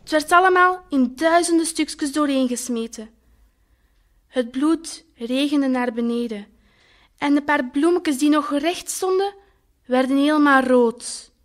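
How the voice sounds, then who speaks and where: A woman playing a naive, wistful talking flower in an audio drama, with a Flemish accent and expressive colloquial storytelling. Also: sits high, measured pace. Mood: horrified, agitated. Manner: colloquial, expressive, lingering over words.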